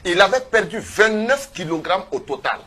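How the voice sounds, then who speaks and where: A man giving impassioned testimony as a guest in a television studio, speaking emphatically and haltingly, often repeating phrases. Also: sits high, animated, bright, forceful tone, husky. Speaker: activist guest on a TV panel.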